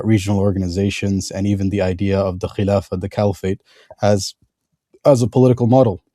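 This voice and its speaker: A man hosting a podcast, speaking low and mellow with very little rise and fall in his voice. He stumbles through his introduction with frequent fillers and restarts. The sound is dry and close, with no room echo. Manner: halting, many fillers and restarts. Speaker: podcast host. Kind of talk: conversational interview introduction.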